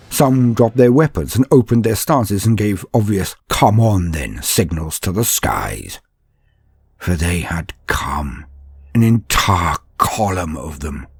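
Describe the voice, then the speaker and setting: Low husky guy doing dramatic story narration, slow weighty pauses, music behind him. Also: a little mellow, dry recording. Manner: theatrical, measured, with weighty pauses. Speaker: storyteller and channel host. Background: background music.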